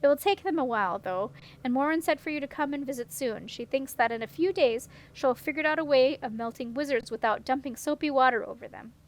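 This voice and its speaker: A woman's voice: high-pitched, brisk, lightly acted. A woman voicing an assured, practical young woman in a storybook. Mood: eager. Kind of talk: storybook character reading.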